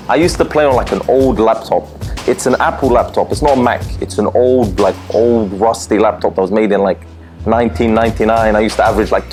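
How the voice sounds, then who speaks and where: A young man from Lebanon, telling his story as a streamer, candid and animated, full of fillers. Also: fairly low pitch. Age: young adult. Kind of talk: personal interview storytelling. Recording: music bed under voice.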